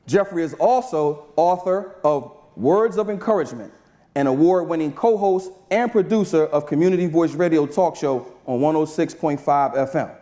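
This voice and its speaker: Mellow, upbeat male host. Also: on the high side, moderate pace. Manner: upbeat, conversational, keeps asking "right?". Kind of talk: casual interview. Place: event room with a live audience.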